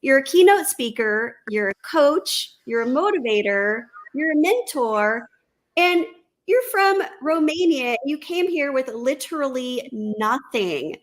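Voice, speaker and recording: A woman's voice: high-pitched, big pitch swings, measured pace, poor audio. A woman hosting an interview, introducing her guest in a friendly, enthusiastic way. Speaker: podcast host.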